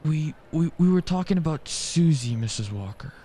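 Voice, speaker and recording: An actor playing a mild, well-meaning gentleman in a radio play, with a hesitant, apologetic manner. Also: fairly high pitch, lively intonation, moderate pace.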